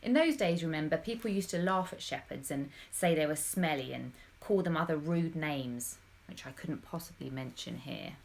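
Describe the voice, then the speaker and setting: A woman with a slightly low voice reads a storybook to children. She tells it expressively and with drama, addressing her young listeners directly. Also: moderate pace, gravelly, few pauses. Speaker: storybook reader.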